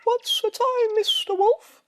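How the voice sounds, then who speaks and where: A man voicing tiny cheeky storybook animals in a squeaky, sing-song falsetto. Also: fairly even intonation, takes it slowly, fairly bright tone, a little hiss or hum, heavily acted. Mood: cheeky, chirpy.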